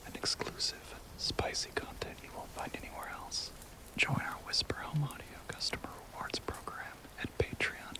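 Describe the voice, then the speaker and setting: Whispering man reading a sign-off. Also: poor audio. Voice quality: breathy, whispered. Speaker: audio roleplay creator giving closing credits. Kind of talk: scripted whispered sign-off to listeners.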